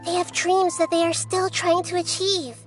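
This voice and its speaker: A woman voicing a small childlike goddess in a video game, speaking in a measured, earnest and philosophical way, gentle but resolute. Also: very high-pitched, level tone, faint music behind.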